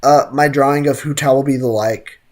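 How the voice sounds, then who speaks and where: A man's voice: mid-range voice, fairly even intonation, brisk. A young man giving casual live gameplay commentary as a streamer, slangy, joking and sarcastic, often repeating his phrases. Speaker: game streamer. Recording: game music behind. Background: video game music.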